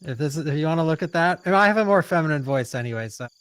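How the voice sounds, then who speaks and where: A man's voice: fairly high pitch, expressive, a bit bright, clean tone, no echo. A man hosting a game stream, teasing his co-player in casual, joking voice-chat banter. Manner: teasing, playful, quick to joke. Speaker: streamer host.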